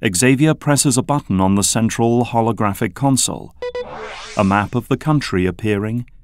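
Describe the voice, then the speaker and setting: A man's voice: medium pitch, normal speed, soft background music. A man delivering scripted narration for an audio drama.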